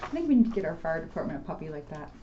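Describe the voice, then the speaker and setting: A woman's voice: sits low, very animated, rapid-fire. A woman, a police officer, adding casual explanatory asides during a children's story reading. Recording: dull lo-fi sound with background noise.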